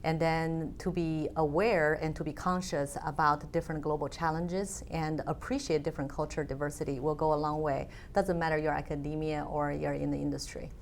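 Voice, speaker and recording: Low, gravelly woman. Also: steady tone, a little hiss or hum. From China. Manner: thoughtful, measured, frequent fillers. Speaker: interview guest, alumna. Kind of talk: conversational interview.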